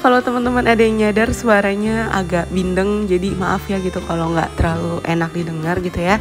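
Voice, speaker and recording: A young woman with a stuffy nose and a hoarse voice from a cold, chatting casually in a home vlog voice-over. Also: medium pitch, fairly even intonation, brisk. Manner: chatty, friendly, with little laughs and fillers. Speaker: vlogger, homemaker. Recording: voice-over with clear background music.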